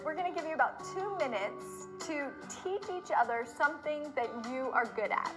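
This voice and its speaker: A woman with a high voice asks questions as a friendly interviewer. Her intonation is lively and moves around a lot, with music clearly playing underneath. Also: normal speed. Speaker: off-camera interviewer. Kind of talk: friendly scripted video interview.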